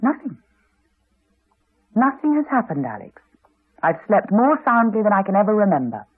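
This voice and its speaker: An actress playing a reserved, candid middle-aged Englishwoman, polite and measured, in a 1980s radio drama. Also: medium pitch, big pitch swings, breathy edge, no echo. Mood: candid, tense. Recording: slightly dull, dry old radio recording.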